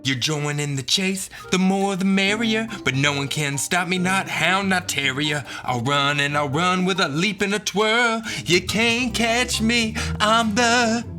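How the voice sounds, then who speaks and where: A man's voice: sharp tone, soft background music. A man belting out a boastful singing gingerbread girl. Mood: taunting, showy. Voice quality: deep, gravelly bluesy singing. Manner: sing-song rhyming, drawn-out showy endings. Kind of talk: sung children's storybook character.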